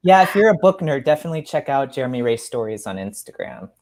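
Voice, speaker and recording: A man's voice: mid-range voice, very animated, fast, a little mellow. Thoughtful man, a guest author talking candidly. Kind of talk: conversational interview about writing.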